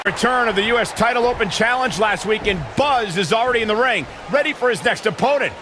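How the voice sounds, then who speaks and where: A high-pitched excited male wrestling play-by-play announcer in a video game. He projects every line emphatically, over loud arena noise. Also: fast talker, a crowd in the background. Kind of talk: excited sports commentary.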